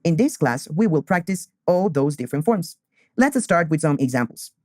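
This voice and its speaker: A man, teaching beginner English and repeating key phrases slowly. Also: a little high, sing-song, a little mellow, no echo, clean studio sound. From Colombia. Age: 20s.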